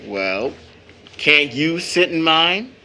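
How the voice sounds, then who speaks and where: A man's voice: on the high side, sing-song, very slow, pushed and bright, slight background noise, exaggerated voice. A man acting as a proud young uncle in an audio drama, with a theatrical, formal way of talking. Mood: teasing. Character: playful, teasing young uncle, mock-pompous, proud, later embittered.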